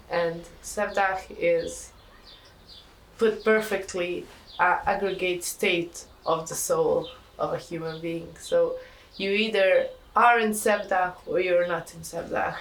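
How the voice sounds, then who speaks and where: A young woman from Bosnia and Herzegovina with a Bosnian accent, a student musician speaking earnestly in a documentary interview. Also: on the low side. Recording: distant mic, faint ambient noise.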